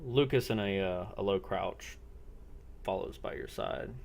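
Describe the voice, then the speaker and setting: A man narrating an improvised role-playing game as game master, halting and pausing between phrases. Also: deep voice, creaky, darkish tone, a little hiss or hum. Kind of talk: improvised narration of a tabletop-style role-play.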